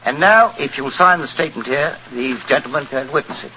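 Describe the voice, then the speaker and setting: A man's voice: mid-range voice, brisk, natural voice. An actor playing a courteous, officious male consular clerk, formal in a 1940s radio style. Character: polite, officious consulate oath commissioner. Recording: muffled, noisy 1940s radio recording.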